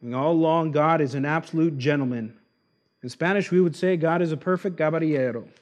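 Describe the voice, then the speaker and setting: High-voiced pastor preaching emphatically. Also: male voice. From Argentina. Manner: emphatic, slows to stress key words. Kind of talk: expository sermon.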